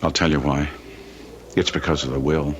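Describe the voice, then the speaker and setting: An actor playing an arrogant, scheming Victorian gentleman in an old-time radio drama, theatrical and contemptuous. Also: very deep voice, steady tone, moderate pace. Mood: wooing, self-pitying. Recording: noisy vintage radio recording.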